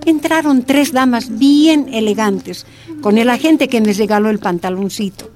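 An actress playing a miner's wife who narrates sorrowful prison memories in a radio drama. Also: female voice, fairly high pitch, unhurried, close to an everyday voice. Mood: sorrowful recollection. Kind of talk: dramatised first-person narration.

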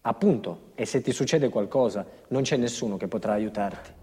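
An actor playing a probing, warning inner voice in a stage drama, measured and insistent, soaked in long reverb. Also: male voice, lowish voice, mellow, a little hiss or hum. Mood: ominous, insistent. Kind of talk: theatrical drama dialogue.

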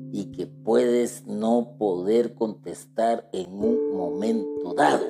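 Slow-spoken man teaching over guitar music. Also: lowish voice. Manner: slow, deliberate, speaks directly to the listener. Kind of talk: religious teaching talk. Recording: guitar music playing behind the voice. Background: plucked guitar music.

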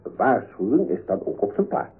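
An actor playing an earnest, self-important amateur researcher in a radio comedy, with a husky voice and portentous delivery. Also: male voice, medium pitch, sing-song, brisk. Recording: narrow-band old radio recording.

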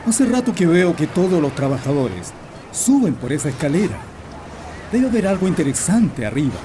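An actor playing a curt, dismissive husband in a radio drama, speaking brusquely with a Chilean accent. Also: male voice, a little high, sing-song, normal speed. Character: curt, dismissive husband Don Manuel, unbothered and restless. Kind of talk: Spanish-language radio drama acting. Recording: noisy outdoor ambience with bird calls.

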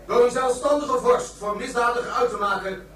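High-pitched pompous demagogue, echoing. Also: male voice, fairly bright tone, slight background noise. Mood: aggrieved. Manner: declamatory, pompous, slow rhetorical oratory. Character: self-styled Martian ruler, a pompous demagogue rallying followers. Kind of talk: political speech in a Dutch radio play. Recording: very echoey, distant from the microphone.

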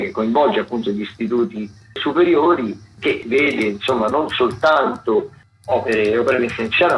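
A man hosting a radio arts programme, talking through theatre listings in a semi-improvised way, often searching for words and repeating himself. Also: mid-range voice. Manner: hesitant, searching for words with repetitions. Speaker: radio host presenting a theatre events roundup. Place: radio studio. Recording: dull lo-fi radio sound, faint noise.

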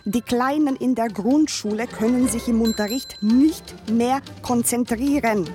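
A woman's voice: fairly high pitch, a little slow, warm tone, faint music behind, close to an everyday voice. An actress playing an exasperated woman reading out a formal, pleading letter of complaint in a radio drama.

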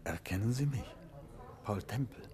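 An actor playing an urbane, polite, quick-witted amateur detective in a 1950s German radio drama. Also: male voice, fairly low pitch, animated, crowd noise, natural voice. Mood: calm, concerned. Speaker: detective hero of a radio serial. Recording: noisy old 1950s radio recording.

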